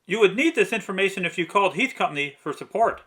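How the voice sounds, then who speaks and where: A man's voice: high-pitched, expressive, quick pace, pushed and bright, slightly rough. A man reading a scripted step-by-step restoration narration in precise, methodical phrasing. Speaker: narrator of a radio restoration video.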